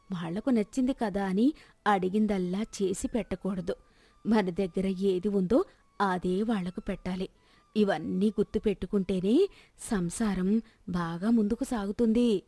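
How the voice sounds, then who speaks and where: A woman's voice: medium pitch, a little slow, a little mellow, no echo. A woman voicing a stingy old grandmother in a moral story, lecturing and scolding. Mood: scolding, preachy.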